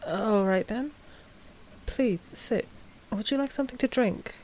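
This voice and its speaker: A woman playing a polite, frazzled vampire coven leader in an audio roleplay, speaking formally with halting hesitations. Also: fairly high pitch, a little mellow, a little hiss or hum, close to an everyday voice. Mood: flustered, courteous. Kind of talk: scripted audio roleplay drama.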